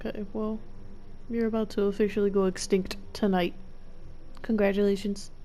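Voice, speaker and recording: A woman's voice: medium pitch, little pitch movement, normal speed, mellow, slight background noise. A woman doing casual live gameplay commentary, reacting to the story with wry, slangy remarks. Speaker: gameplay streamer.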